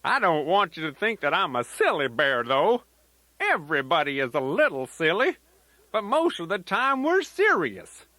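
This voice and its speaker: A man's voice: a little high, very animated, moderate pace, bright, forceful tone, no echo. A man voicing a folksy, playful old grandfather teddy bear who rambles in a children's storytelling tape. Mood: gently teasing. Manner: folksy, rambling, sing-song rhyming asides.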